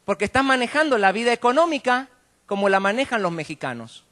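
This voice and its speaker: A man's voice: very high-pitched, big pitch swings, quick pace, a bit bright. A man from Argentina with an Argentine accent preaching in a conversational, colloquial way, often tagging statements with rhetorical questions. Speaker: guest preacher. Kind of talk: conversational sermon with jokes.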